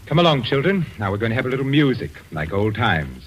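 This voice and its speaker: A man's voice: mid-range voice, sing-song, fast talker, slight background noise, close to an everyday voice, rough recording. An actor playing an insistent, aggrieved widowed father in a theatrical, formal old-time radio drama style. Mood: strained coaxing.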